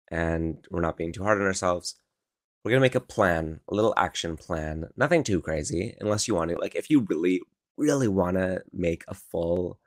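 Young man with a croaky, low voice. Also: dry recording, clean studio sound. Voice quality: croaky morning voice. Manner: rambling, filler-heavy, encouraging. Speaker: podcast host. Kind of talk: casual solo podcast chat. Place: his own room at home.

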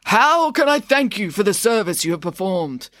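A woman's voice: mid-range voice, sing-song, normal speed, fairly bright tone, no echo, clean studio sound. Woman putting on a pompous old Shah. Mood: generous. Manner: pompous, proclaiming. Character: old male Persian Shah, grand and indignant.

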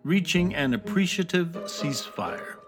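A man's voice: high voice, very animated, a little slow, creaky, over music. A man narrating a scripted children's adventure story.

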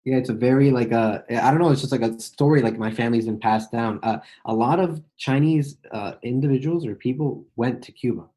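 A man's voice: medium pitch, brisk, hardly any pauses, dark tone. An American man interviewing casually, talking loosely with lots of "like" and restarts. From United States.

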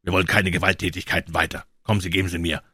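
Cool male detective, brisk and authoritative, low voice, husky, dry, as a man reads him. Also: level tone, bright, forceful tone, no echo, heavily acted. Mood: commanding.

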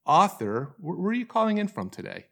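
A man's voice: high-pitched, very animated, brisk, vocal fry, bright, forceful tone. A man hosting an interview podcast in a friendly way, with small restarts as he talks. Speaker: podcast host.

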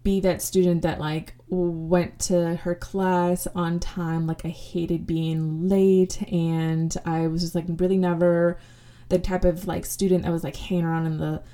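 Soft-toned woman sharing her life story. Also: fairly low pitch, level tone, a little slow. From United States. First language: Spanish. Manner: reflective, many fillers and restarts. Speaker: podcast host. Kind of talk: casual personal storytelling.

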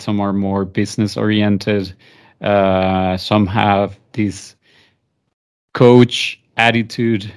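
Lower-voiced man with a Venezuelan accent. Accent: Venezuelan Spanish. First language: Spanish. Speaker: podcast guest. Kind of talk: conversational interview.

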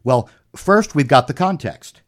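A man's voice: fairly high pitch, lively intonation, unhurried. A man co-hosting a podcast, reading a Bible passage aloud and breaking it down step by step. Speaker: podcast co-host. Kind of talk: conversational Bible discussion.

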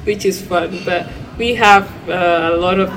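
A woman from Kenya chatting as a guest in a pub, relaxed and a bit hesitant. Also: on the low side, unhurried. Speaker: podcast guest. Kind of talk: casual interview chat. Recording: noisy pub background.

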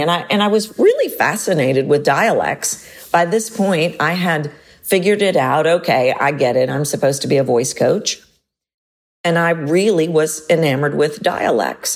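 A middle-aged woman with a Texan accent, hosting a solo podcast as a voice coach, leaping up in pitch to stress words and slowing on key points. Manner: expressive, leaps up in pitch for emphasis, slows on key points.

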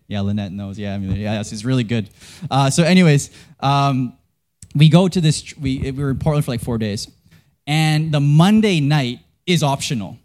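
A man's voice: medium pitch, darkish tone. A young man from Canada preaching a sermon as a pastor, telling a story in a casual, self-deprecating way with lots of fillers and restarts.

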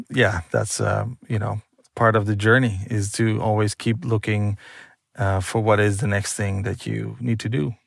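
A man's voice: lowish voice, creaky, no room sound, studio quality. A man from the Netherlands, a photographer, answering interview questions in a hesitant way with many fillers and restarts.

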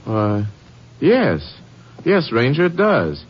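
An American actor playing an earnest, bereaved grown son in a 1950s radio drama, hesitant and polite. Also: male voice, medium pitch, huge pitch swings, slow, breathy edge, exaggerated voice. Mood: earnest, sorrowful. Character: earnest, bereaved adult son, commercial artist. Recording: muffled old 1950s radio recording.

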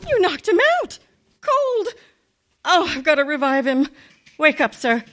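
A woman playing a wry, quick-witted high-school teacher with sardonic, theatrical radio comedy delivery. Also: big pitch swings, very noisy. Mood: urgent.

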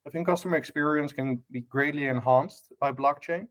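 A man's voice: fairly high pitch, a little fast, fairly bright tone. A man from the Netherlands with a Dutch accent, speaking as a podcast guest about hotel technology, full of fillers and restarts and ending points with "right?". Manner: many fillers and restarts, tag questions. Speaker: guest, hospitality tech lead. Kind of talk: casual podcast interview.

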